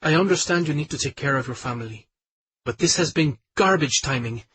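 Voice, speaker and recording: A man voicing a brisk, ambitious female executive colleague who talks in a pushy, demanding way. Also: low voice, a bit bright, a little hiss or hum, voice pushed up.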